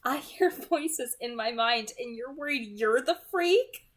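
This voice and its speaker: A woman's voice: fairly high pitch, lively intonation, slow, pitched above the performer's own voice. A woman voicing a teasing, guarded young supernatural woman in a novel read-aloud, with a casual, explaining manner. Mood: amused.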